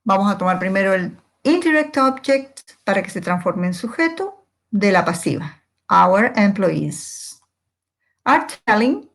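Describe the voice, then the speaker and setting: Female language teacher, medium pitch, unhurried and deliberate pace, explains grammar step by step and answers her own questions. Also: sing-song. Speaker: English teacher.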